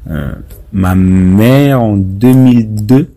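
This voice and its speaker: Man, thirties, very low voice, mellow, hesitant, interview guest. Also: vocal fry. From France. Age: 30s. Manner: hesitant, halting with many fillers. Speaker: interview guest, member of parliament. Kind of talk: casual interview talk.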